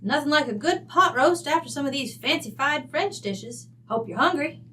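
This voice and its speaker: A woman's voice: high voice, animated, moderate pace, bright, forceful tone. A woman playing a brash, folksy, middle-aged rancher widow with an American West accent, blunt, bossy and slangy, in an old-time radio drama. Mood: brisk, hearty.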